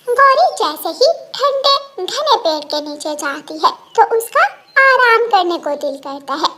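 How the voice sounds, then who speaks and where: Very high-pitched woman narrator. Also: very animated, unhurried, bright, forceful tone. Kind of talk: scripted children's story narration.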